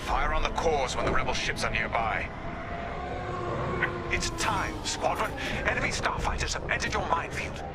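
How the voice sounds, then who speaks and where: A man's voice: a little high, huge pitch swings, normal speed, very noisy. An actor playing a stern male Imperial squadron leader giving terse, commanding orders in combat radio chatter. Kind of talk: video game voice acting over radio chatter. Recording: game mix over loud music.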